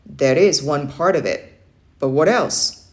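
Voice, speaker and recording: Woman as tough young uncle, military, emphatic, motivating, unhurried delivery, children's storybook. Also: sits low, lively intonation, darkish tone. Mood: stern, instructive. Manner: firm, emphatic, challenging. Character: stern, motivating young adult uncle, Navy man. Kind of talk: children's audiobook read-aloud.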